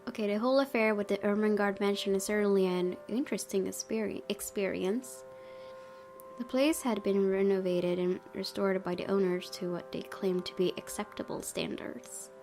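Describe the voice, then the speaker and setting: A woman's voice: medium pitch, fairly even intonation, normal speed, a little mellow. A woman hosting a gaming video, chatting and reading aloud in a reactive way. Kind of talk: playthrough commentary and reading aloud. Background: game music.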